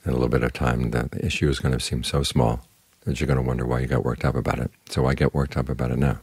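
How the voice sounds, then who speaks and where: Very deep, gravelly man, calmly instructive. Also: quick pace, no echo. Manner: calm, instructive, plain-spoken. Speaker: Buddhist monk, meditation teacher. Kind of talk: short spoken Dhamma talk guiding meditation.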